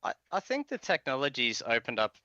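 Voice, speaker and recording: A man's voice: medium pitch, big pitch swings, a little fast, sharp tone, no room sound. A man in his forties with an Australian accent, giving an informal, chatty technical talk as a presenter on a video call. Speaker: guest presenter, software trainer. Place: indoor room, video call. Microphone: headset. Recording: slightly dull headset audio.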